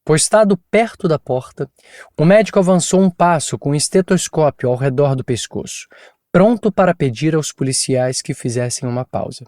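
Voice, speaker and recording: A man narrating an audiobook in an even, measured storytelling manner from a studio booth on a close mic. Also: a little high, no echo. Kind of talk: scripted narration.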